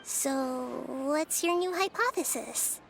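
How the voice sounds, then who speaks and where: A woman voicing a small, wise and gentle childlike goddess in an anime video game, measured and teacherly. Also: high voice, gravelly, noisy background, exaggerated voice. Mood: composed, probing. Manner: measured, teacherly, speaks in metaphors.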